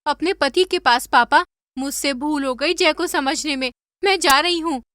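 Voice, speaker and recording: A cheeky, spoiled young cartoon wife acted by a woman, with a high, bright voice. She talks bluntly and with sass, recorded dry. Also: unhurried, no echo. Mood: pleading. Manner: sassy, blunt. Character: brash, cheeky rich young wife who drinks beer. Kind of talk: animated moral story dialogue.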